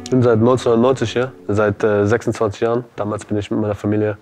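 Guy in his late 20s, kinda low voice, calm explaining, guitar music behind. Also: fast, dry recording. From Afghanistan. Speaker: footballer. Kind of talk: conversational interview.

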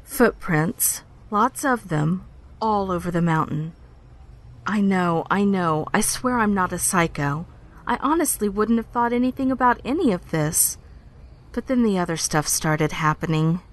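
A woman playing an apologetic, self-conscious young park ranger who speaks haltingly in a naturalistic podcast drama. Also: fairly low pitch, animated. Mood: defensive, anxious. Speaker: actor in audio drama.

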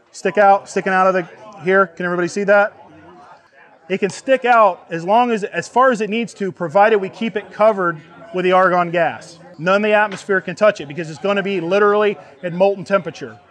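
A middle-aged man with a fairly high voice teaches a practical class to a group. He explains things in a plain, folksy way and checks that people follow. He is recorded outdoors under a tent. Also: fairly even intonation, fairly quick, a bit bright. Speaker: welding instructor. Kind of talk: live hands-on class instruction to a group. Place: outdoors under an open tent canopy before a seated group.

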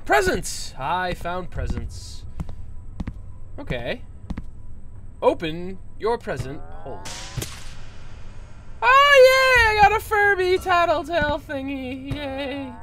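A young man with a nasal, flu-stuffed voice, commentating a horror game on a headset and yelling at the game when startled. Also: very high voice, sing-song, takes it slowly, pauses often, noisy background. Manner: jumpy, yells at the game. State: recovering from flu. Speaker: gaming youtuber.

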